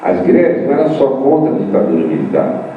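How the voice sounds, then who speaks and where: A man's voice: mid-range voice, big pitch swings, fairly quick. An older male professor answering a student in a debate, emphatic, with repeated points and rhetorical questions. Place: large hall at a university meeting. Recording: distant echoey room mic, dull and noisy.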